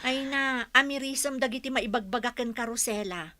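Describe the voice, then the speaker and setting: A high, sharp female voice from an actress plays a nosy older woman full of disapproving advice in a radio play. It has an Ilocano accent and a very dry sound. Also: moderate pace, no echo. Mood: needling, judgmental. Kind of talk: radio drama acting.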